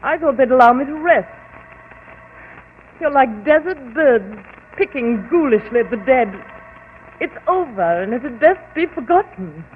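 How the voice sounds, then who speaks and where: Fragile widow; high, tremulous, old broadcast sound. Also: female voice, animated, a little slow, pauses often, a little hiss or hum. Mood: distraught. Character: distraught widow, fragile, claims to be haunted. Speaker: murder suspect. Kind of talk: 1940s radio acting. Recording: tinny old radio broadcast.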